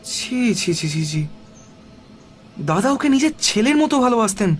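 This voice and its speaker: An actor playing a high-strung, agitated village man in an audio story, speaking in incredulous exclamations. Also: big pitch swings, a little slow, a little hiss or hum. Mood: appalled. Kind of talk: Bengali audio story acting.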